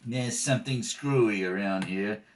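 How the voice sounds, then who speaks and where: A man playing a loud, gruff, impatient police detective in old-time radio comedy style. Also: fairly low pitch, unhurried, distant mic, slight background noise, pitched below the performer's own voice, lightly acted. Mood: suspicious. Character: loud, irritable, slangy police detective searching a house.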